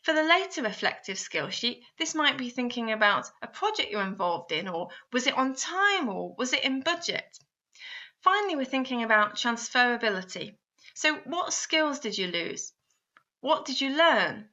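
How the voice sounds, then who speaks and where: A woman's voice: a little high, big pitch swings, normal speed, bright, forceful tone. A woman narrating a scripted instructional guide, speaking straight to the listener and often posing guiding questions. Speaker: instructor narrating a guidance video. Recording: slightly dull, lo-fi voice-over.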